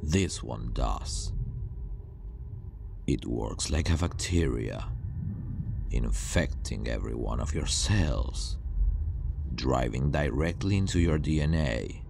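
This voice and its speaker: A man playing a calm, self-assured superhuman villain scientist who disdains humanity, speaking in a hushed, grandiose, deliberate way in an ASMR roleplay. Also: a bass voice, vocal fry, noisy background, full character voice. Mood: self-assured, boastful. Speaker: ASMR voice actor.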